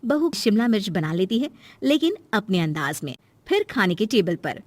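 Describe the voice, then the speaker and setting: A woman's voice: mid-range voice, very animated, moderate pace, a little mellow, no room sound, soft background music. A woman narrator delivering scripted story narration.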